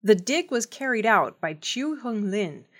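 A woman's voice: on the high side, sing-song, unhurried. A woman reading scripted news reports as a narrator. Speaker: news reader.

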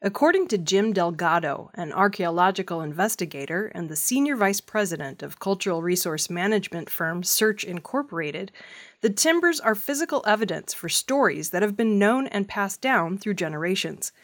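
A woman's voice: mid-range voice, lively intonation, normal speed. A woman news reader delivering a scripted audio news report.

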